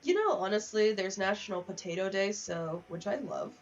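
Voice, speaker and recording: A woman's voice: mid-range voice, lively intonation, moderate pace, distant mic, dull audio. A woman livestreaming a game with casual, candid chat, swearing freely in a wry way and reading bits of text aloud. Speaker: livestream host. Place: home office.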